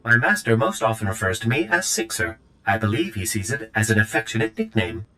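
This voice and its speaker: An actor playing a fussy, servile protocol droid with a formal, precise, polite manner in a video game. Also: male voice, lowish voice, brisk, pushed and bright, a little hiss or hum.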